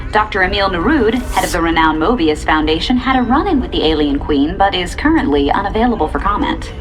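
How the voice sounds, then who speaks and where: A polished news reporter in a game broadcast. She is professional and formal, with a lively, swinging intonation over music. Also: on the low side, a little fast. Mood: composed, formal.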